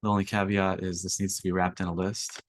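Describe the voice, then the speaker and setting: A young man, slightly raspy from allergies, walking through a coding workshop in a relaxed way with lots of ums. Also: low-pitched, fast. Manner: relaxed, filler-heavy explaining. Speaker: workshop instructor. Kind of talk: screen-share coding tutorial.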